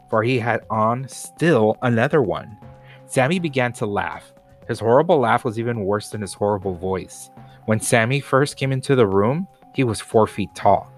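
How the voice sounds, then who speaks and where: A man narrating a children's storybook aloud as a scripted reading. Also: on the low side. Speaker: storybook reader.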